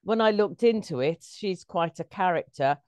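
A woman's voice: on the low side, expressive, moderate pace, darkish tone, clear, resonant tone, no room sound. A middle-aged woman, a stage performer telling anecdotes as an interview guest.

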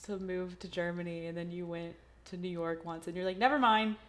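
A woman's voice: lowish voice, fairly even intonation, fairly quick, a little hiss or hum. A woman hosting a podcast, chatting casually with plenty of "like" and "um.". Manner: chatty, upbeat, lots of likes and ums. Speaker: podcast host.

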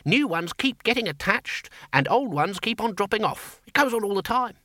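Man putting on a high-pitched pedantic wizard philosopher. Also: expressive, normal speed, bright, forceful tone, no room sound, clean studio sound. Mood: marvelling. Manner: nitpicking, mockingly rhetorical. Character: pedantic, sarcastic, horse-faced male wizard philosopher. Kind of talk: comic fantasy audiobook dialogue.

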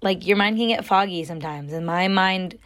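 A young woman with a raspy, somewhat deep voice hosting her own podcast, talking loudly and rambling with lots of fillers. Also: a little fast. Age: young adult. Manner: chatty, loud, rambling with many fillers. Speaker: podcast host. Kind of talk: casual solo podcast talk.

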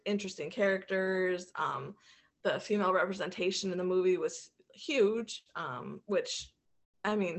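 A woman co-hosting a podcast, chatting informally and pausing often as she searches for her words. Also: on the low side, fairly bright tone. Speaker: podcast co-host. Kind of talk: casual chat, giving movie reviews.

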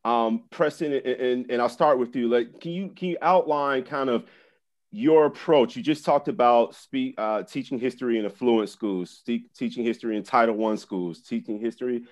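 A man co-hosting an education podcast, talking in a halting way with many fillers and restarts. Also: mid-range voice, darkish tone. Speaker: podcast co-host.

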